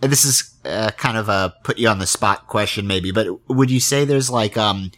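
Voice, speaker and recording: Lowish-voiced man interviewing, rambling casually. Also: fairly bright tone. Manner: halting, rambling, thinks aloud. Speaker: interviewer, podcast host.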